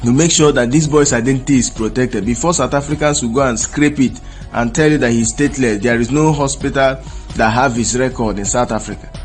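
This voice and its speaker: A man's voice: medium pitch, a little fast, soft background music. A man with a Nigerian accent giving opinionated news commentary, emphatic and insistent, repeating his points.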